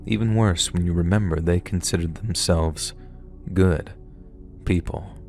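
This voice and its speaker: Deep-voiced man narrating horror stories. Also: steady tone, unhurried, a little mellow, faint music behind. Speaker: narrator reading viewer stories. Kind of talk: scripted horror story narration.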